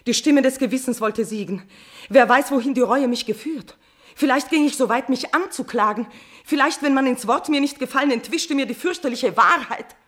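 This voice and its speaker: A woman's voice: a little high, a bit bright. Anguished, impassioned tragic queen. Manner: declamatory, impassioned, dramatic. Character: anguished, passionate queen tormented by guilty love. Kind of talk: classical verse tragedy radio play.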